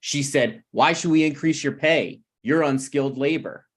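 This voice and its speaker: A man's voice: fairly high pitch, moderate pace, natural voice. A man in his thirties at a script table read, playing a needy, prickly struggling screenwriter who talks in a pressing, conversational way. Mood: aggrieved. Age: 30s.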